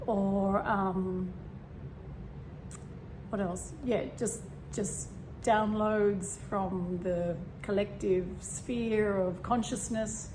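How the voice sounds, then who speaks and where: A woman with a slightly croaky voice talking casually and meanderingly about spirituality, with many fillers and throat clearing. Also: medium pitch, extremely slow. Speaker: spiritual vlogger. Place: home room with a fan running. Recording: steady fan hum behind. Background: fan noise.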